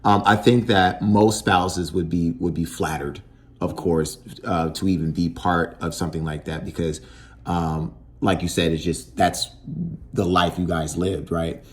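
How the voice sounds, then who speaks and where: Man, podcast host, very low pitch, easygoing, affirming, long questions. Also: steady tone, unhurried. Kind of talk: casual interview questions.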